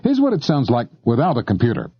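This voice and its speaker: A man's voice: mid-range voice, huge pitch swings, fast, husky, lo-fi sound. A man giving scripted explanatory narration in a conversational way, speaking straight to the listener. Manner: conversational, addressing the listener directly. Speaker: narrator of an instructional tape on shortwave listening.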